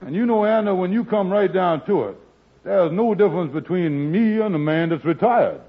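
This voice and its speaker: An actor playing an excitable, work-shy married schemer who blusters and mangles big words in an old radio sitcom. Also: male voice, high voice, moderate pace. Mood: self-justifying. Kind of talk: 1940s radio sitcom acting. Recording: muffled old radio broadcast.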